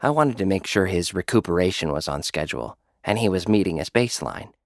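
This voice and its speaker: Deadpan male narrator, low voice. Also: a little fast, dry recording, clean studio sound. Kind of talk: first-person scripted narration.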